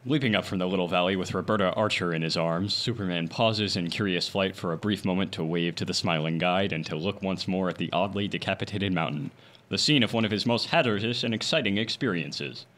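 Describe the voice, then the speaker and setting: Low-voiced male radio serial announcer. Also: a little fast, fairly bright tone. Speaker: announcer and narrator. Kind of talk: dramatic old-time radio serial narration.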